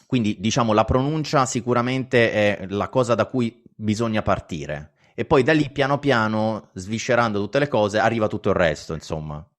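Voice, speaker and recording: A podcast host, an Italian man with an Italian accent, speaking in a fairly low voice. He talks haltingly, restarting and searching for words as he sums up what his guest says. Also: animated. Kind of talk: interview chat.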